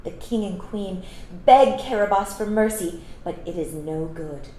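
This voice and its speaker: A woman reading a children's story aloud, adding gentle, teacherly explanations for young listeners. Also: medium pitch, measured pace. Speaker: storytime reader. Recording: roomy echo, some background noise.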